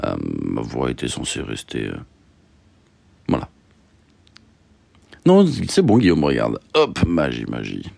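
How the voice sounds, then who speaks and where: Deep creaky young man chatting. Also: huge pitch swings, a little mellow, no room sound. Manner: halting, repeats phrases, many fillers. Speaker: streamer reading and answering chat. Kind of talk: casual livestream chat.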